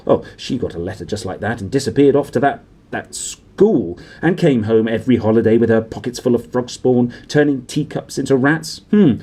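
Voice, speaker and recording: A man's voice: medium pitch, dark tone, slightly rough. A man voicing a bitter, jealous middle-aged woman in a storybook, ranting with sneering indignation.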